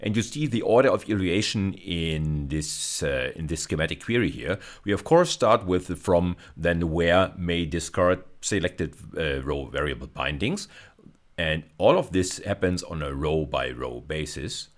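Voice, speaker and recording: A man's voice: low voice, normal speed. A man giving a video lecture, explaining technical material didactically and repeating phrases for emphasis. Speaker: university lecturer.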